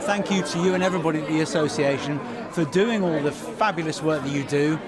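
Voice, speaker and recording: A man's voice: fairly high pitch, fast. A man, a podcast host doing upbeat, gushing red carpet interviews at an awards event. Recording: loud crowd behind.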